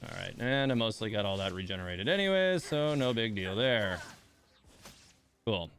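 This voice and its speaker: A man streaming a video game, thinking aloud in a relaxed, informal way as he gives casual live commentary. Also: fairly low pitch, moderate pace.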